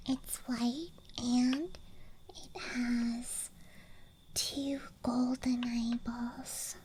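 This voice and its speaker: Young woman for ASMR: high, breathy whisper, very slow hushed musing with childlike wonder, close-miked over faint outdoor night ambience. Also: slight background noise, poor audio. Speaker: ASMR streamer playing a dice goblin persona. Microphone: close microphone. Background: night-time campground ambience.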